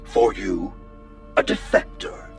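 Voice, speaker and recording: An actor in a radio drama playing a cold, merciless alien commander who pronounces judgment with slow menace in a gravelly voice. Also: male voice, fairly low pitch. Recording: music behind the voice.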